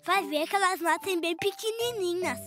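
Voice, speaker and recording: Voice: very high-pitched, moderate pace. A performer voicing a small, eager little boy in a children's cartoon, with simple childlike delivery. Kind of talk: animated children's cartoon dialogue. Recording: music bed under the voice.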